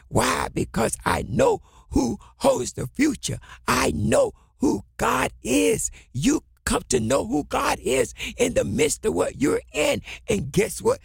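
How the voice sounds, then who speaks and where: A man preaching a fervent sermon in a raspy, gravelly voice, exhorting with impassioned, repeated call-and-response phrases that swing into shouts. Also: high-pitched, no echo, clean studio sound.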